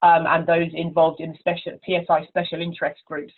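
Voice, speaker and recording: A woman's voice: sits low, little pitch movement, a little fast. Woman on a tinny phone line. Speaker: conference chair being interviewed. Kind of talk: informal interview answers. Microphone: telephone. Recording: narrow, tinny telephone line.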